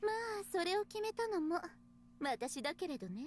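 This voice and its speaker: A woman voicing a cruel young female mastermind in a Japanese visual novel, gloating with a breathy, drawn-out taunting purr. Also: extremely high pitch, sing-song, a little mellow. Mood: gloating, amused.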